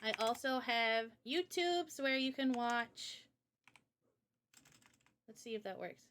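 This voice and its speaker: A woman's voice: a little high, animated, takes it slowly, a bit bright. A woman streamer and game developer chatting casually with her audience in an informal, slangy way. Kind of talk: casual livestream chat.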